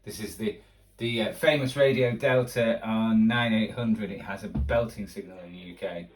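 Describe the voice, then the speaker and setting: A man's voice: fairly low pitch, steady tone, moderate pace. A man giving casual, unscripted commentary as a radio hobbyist, rambling hesitantly and thinking aloud while he tunes. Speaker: shortwave radio hobbyist presenter. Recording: distant mic with radio audio behind.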